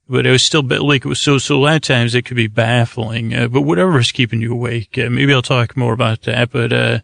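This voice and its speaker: Male sleep podcast host, creaky gravelly voice, halting, meandering tangents, dry close sound. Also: medium pitch, fairly bright tone, dry recording. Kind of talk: rambling bedtime podcast monologue.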